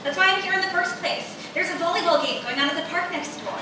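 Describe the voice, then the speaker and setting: A woman's voice: very high voice, fast talker, distant mic. A young woman playing an upbeat, curious college student in a conversational live radio play. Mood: coaxing. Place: live performance room, distant mic. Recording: echoey, noisy live theatre recording from afar.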